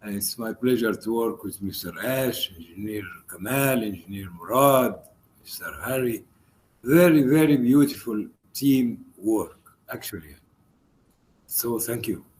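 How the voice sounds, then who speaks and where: Slow-speaking man with faint background noise. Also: mid-range voice, darkish tone. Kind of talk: greeting colleagues in an online meeting.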